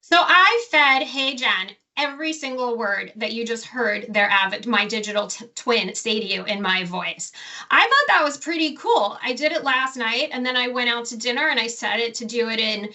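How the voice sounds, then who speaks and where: A woman's voice: fairly high pitch, sharp tone. A woman professor giving a casual, enthusiastic presentation with slides, often restarting and correcting herself. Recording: distant from the mic.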